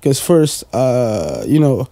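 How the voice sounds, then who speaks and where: Dark-voiced man, rambling casually. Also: mid-range voice, sing-song, slow pace, dry recording. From Portugal. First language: Portuguese. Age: 20s. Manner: rambling, heavy fillers and casual swearing. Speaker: guest, music producer. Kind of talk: casual podcast chat.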